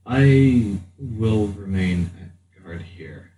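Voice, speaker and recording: A man voicing a solemn, dutiful warrior who speaks slowly and formally in a tabletop game. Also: lowish voice, animated, mellow. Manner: slow, formal, grave. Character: solemn, dutiful male warrior standing guard. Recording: roomy, distant mic with faint noise.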